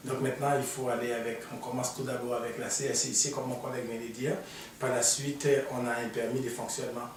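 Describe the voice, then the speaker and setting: A man's voice: medium pitch, flat delivery, fast talker, slight background noise. A man, an immigration consultant on a studio panel, talking through his own path with many fillers. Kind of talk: explaining on a panel. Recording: echoey room, mic far off.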